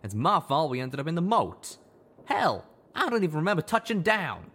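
A man voicing a loud, hot-tempered young male pegasus who rants in a country drawl, pitched well above his own voice. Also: big pitch swings, unhurried, heavily acted. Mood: angry shouting. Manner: yelling, ranting.

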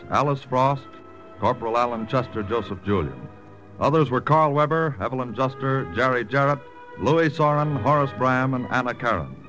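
A man announcing the closing credits of a 1940s radio program in a crisp, formal way. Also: mid-range voice, rough recording. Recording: old radio broadcast recording.

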